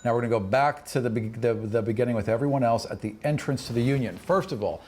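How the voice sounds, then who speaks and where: A man's voice: mid-range voice, fast, darkish tone, a little hiss or hum. A man speaking as game master and host of a fantasy tabletop podcast.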